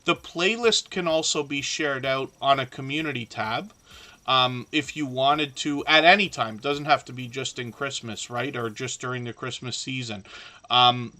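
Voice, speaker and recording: Casting note: middle-aged man, livestream host, bright edgy tone, voice a bit scratchy and worn. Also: medium pitch, normal speed. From southern Ontario, Canada. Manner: chatty, encouraging, frequent ums and restarts. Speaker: live stream host. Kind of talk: casual live stream chat.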